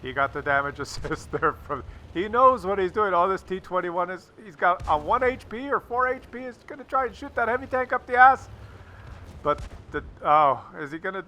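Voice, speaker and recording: A man with a bright, forceful and slightly high voice commentates game replays in a casual way. He is jokey and crude and often repeats his phrases. Vehicle noise from the game is clearly heard behind him. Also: expressive, moderate pace. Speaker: host of gameplay commentary video.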